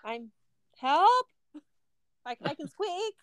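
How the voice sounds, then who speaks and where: A middle-aged woman co-hosting a casual chat podcast, an animated storyteller who acts out voices. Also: very high voice, slow pace, no room sound, studio quality. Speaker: podcast co-host and substitute teacher.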